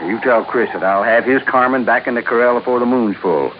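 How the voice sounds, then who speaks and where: An actor playing a gruff, folksy Western town marshal in a 1950s radio drama. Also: male voice, mid-range voice, brisk, lightly acted. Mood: gruff, fond. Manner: folksy, rustic phrasing. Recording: tinny, noisy old radio recording.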